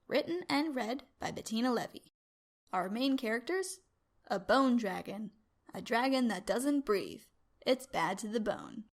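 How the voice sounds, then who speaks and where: A woman's voice: fairly high pitch, expressive, unhurried. A woman reading her own short story as dramatic narration. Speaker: story writer and reader.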